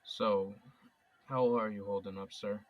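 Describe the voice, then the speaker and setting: An actor playing a young police officer gently consoling his grieving chief. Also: lowish voice, steady tone, a little slow, lightly acted. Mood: gentle. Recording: distant lo-fi mic.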